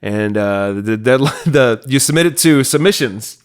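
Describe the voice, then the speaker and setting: A man's voice: mid-range voice, expressive, moderate pace, smooth. American man hosting a casual podcast. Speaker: podcast host and producer. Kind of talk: casual chatty podcast introduction.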